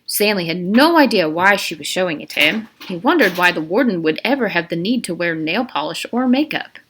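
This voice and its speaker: A woman's voice: lowish voice, expressive, a little fast, fairly bright tone, slightly rough. A woman narrating a novel as a read-aloud, reading expressively with an occasional stumble. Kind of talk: storybook read-aloud with brief asides.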